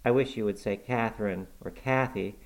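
A man voicing a gentle, eager, affectionate young lady in an audiobook, soft and coaxing. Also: on the low side, normal speed, lightly acted, low-quality recording. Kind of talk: audiobook character acting.

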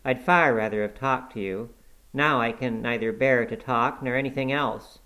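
A man's voice: mid-range voice, normal speed, pushed and bright, voice pushed up, natural voice. A man performs a peevish, ailing boy in an audiobook. The voice is lifted well above a man's normal talk, with a fretful, self-pitying whine. He sounds spoiled and forever complaining. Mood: whining, querulous. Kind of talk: audiobook character acting.

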